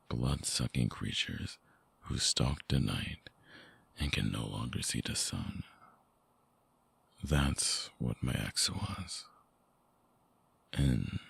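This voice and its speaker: A man playing a brooding, tender, wounded vampire ex-lover in an intimate ASMR audio drama, husky and breathy, with a halting, hushed delivery close to the mic. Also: rumbling low, no echo, exaggerated voice. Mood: somber, confessing. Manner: slow, halting, sighing, hushed confiding. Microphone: close binaural mic, dry sound.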